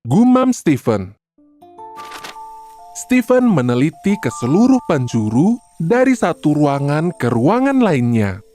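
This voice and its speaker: A storyteller narrating a scripted children's tale in an expressive, deliberate manner. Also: mid-range voice, soft background music.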